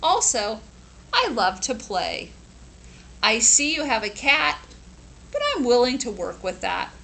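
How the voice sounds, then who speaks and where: A woman's voice: a little high, very animated, measured pace, creaky, sharp tone, heavily acted, poor audio. A woman voicing an eager, lonely male stray dog from a children's storybook, pleading and earnest. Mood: hopeful, eager. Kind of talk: children's storybook character reading.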